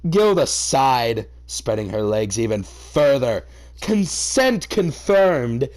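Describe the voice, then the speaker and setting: Male comedic reader, highly animated pitch, theatrical delivery with profane, incredulous ranting asides. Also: takes it slowly. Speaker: host reading fan fiction aloud.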